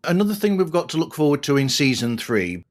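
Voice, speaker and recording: A man's voice: a little high, sing-song, brisk. A man hosting a podcast, introducing and interviewing guests in a conversational way.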